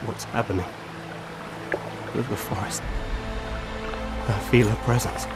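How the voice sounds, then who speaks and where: An actor playing a mortally wounded elf seer in a video game, haunted and mournful, speaking weakly and haltingly. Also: male voice, lowish voice, steady tone, loud music behind the voice, close to an everyday voice. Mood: fading, solemn. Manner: slow, halting, labored. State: weak from fatal wounds, as acted.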